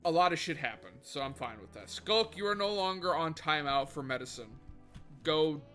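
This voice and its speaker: A man's voice: a little high, expressive, normal speed, sharp tone. A man livestreaming a video game, chatting casually with viewers in a rambling way and swearing freely. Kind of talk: casual gaming livestream chat. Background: faint background music.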